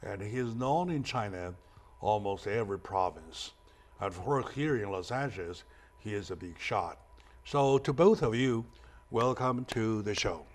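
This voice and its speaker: A man hosting a talk show interview, speaking with halting, hesitant phrasing. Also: lowish voice, expressive.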